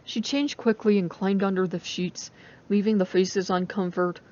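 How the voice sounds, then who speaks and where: A woman's voice: medium pitch, fairly even intonation, moderate pace, a little mellow, dull audio. A woman reading a story aloud as a dramatic audiobook narrator. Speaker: story reader.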